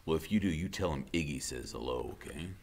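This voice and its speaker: A man playing a menacing, scornful tough guy, gruff and curt, in a storybook reading. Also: very deep voice, lively intonation, brisk, a little mellow, voice pushed down, exaggerated voice. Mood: cool menace.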